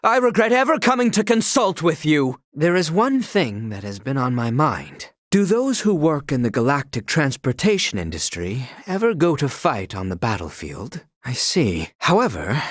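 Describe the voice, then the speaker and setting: A proud, devoted young knight in a video game. His diction is lofty, formal and old-fashioned, with a stiff courtly bearing. The pitch is mid-range but swings widely, and the recording is dry and close. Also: no room sound. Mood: outraged, then awed, curious. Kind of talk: video game character voice lines, scripted archaic speech.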